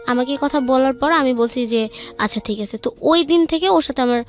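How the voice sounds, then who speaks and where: Young female guest, high voice, Bangladeshi accent, informal personal storytelling over faint music. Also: fairly quick. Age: young adult. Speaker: guest telling her life story.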